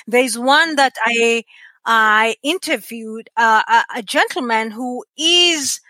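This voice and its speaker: A woman with a Kenyan accent, speaking as a podcaster guest in an interview, earnest and expressive as she tells stories. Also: a little high, no echo. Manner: expressive storyteller, measured and earnest. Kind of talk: conversational interview.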